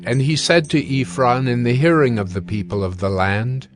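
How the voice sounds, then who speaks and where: A man narrating scripture from a script in a measured, formal manner. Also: mid-range voice. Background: soft music.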